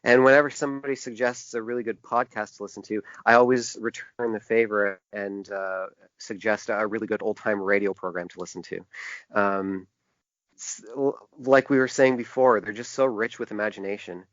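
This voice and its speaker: A man answering interview questions as a designer, in a conversational but halting way, often restarting his phrases. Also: on the low side, steady tone, fairly bright tone, dry recording. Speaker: podcast guest, book designer. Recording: dull, band-limited lo-fi sound.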